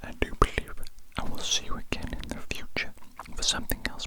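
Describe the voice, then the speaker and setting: An ASMR performer voicing a gentle, doting alien doctor in a breathy, unvoiced whisper, soothingly repeating phrases to a patient. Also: close to an everyday voice, poor audio. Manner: slow soothing repetition of phrases.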